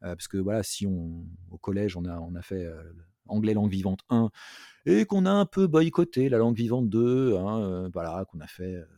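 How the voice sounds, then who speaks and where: A man's voice: on the low side, normal speed, mellow, no echo, clean studio sound. A man from France hosting a culture show in a casual, chatty, jokey way, often repeating himself.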